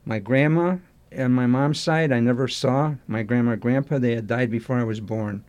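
Older man with a mellow voice. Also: mid-range voice, steady tone, clean tone, muffled recording. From Chicago, USA. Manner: halting, restarts sentences, warmly nostalgic. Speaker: interview guest. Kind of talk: reminiscing interview answers.

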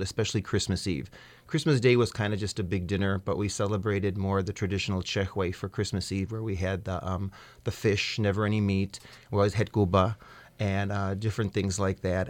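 Low-voiced man, dry studio sound. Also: dry recording. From United States. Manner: hesitant, good-humored, many fillers. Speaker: radio guest. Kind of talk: casual interview chat. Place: radio studio.

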